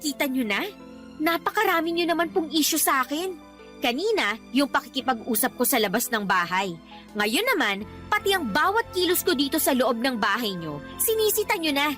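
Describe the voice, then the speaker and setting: A young Filipino woman living with her boyfriend in a radio soap. Her voice is extremely high, and she argues back, exasperated and aggrieved. Soft music sits underneath. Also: sing-song, moderate pace. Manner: argumentative, exasperated, complaining. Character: young live-in girlfriend, defiant, aggrieved, answering back. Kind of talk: Filipino radio drama acting.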